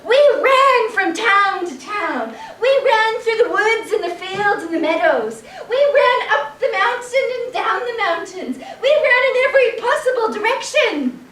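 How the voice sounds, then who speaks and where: A woman's voice: extremely high pitch, lively intonation, a little slow, hardly any pauses, fairly bright tone, recorded from a distance, voice pushed up, exaggerated voice. A woman voicing a furious crowd of townspeople ranting indignantly, in an echoey room away from the mic. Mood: outraged.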